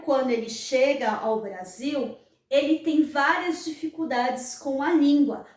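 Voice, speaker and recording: A Brazilian woman hosting her own channel, explaining earnestly and often checking in with tag questions. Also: high voice. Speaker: channel host. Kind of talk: explanatory vlog talk. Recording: roomy echo, mic at a distance.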